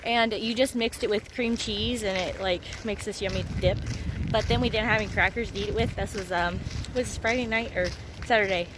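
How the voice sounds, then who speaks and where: A woman vlogging her day in a casual, rambling way, full of ums, her voice hoarse. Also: medium pitch, steady tone, brisk, background noise. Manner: chatty, rambling with many ums. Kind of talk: casual daily vlog to camera. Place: outdoors on a rural road, wind.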